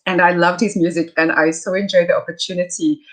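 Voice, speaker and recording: A woman's voice: on the low side, fairly even intonation, fast talker. South African woman, gracious interview guest. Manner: warm, gracious, many fillers. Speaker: guest, soprano. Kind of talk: casual conversational interview.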